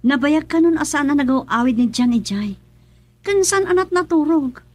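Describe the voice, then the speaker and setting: An actress playing a distressed young wife in Ilocano radio drama, with a Filipino accent. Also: female voice, high-pitched, expressive, moderate pace, dry recording. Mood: tearful, distressed.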